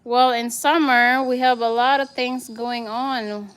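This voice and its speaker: A woman's voice: on the high side, fairly even intonation, slow pace, a bit bright, clear, resonant tone. A woman from the Philippines with a Filipino accent, chatting casually as she gives viewers a tour of her house and greets them by name. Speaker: vlogger, mother.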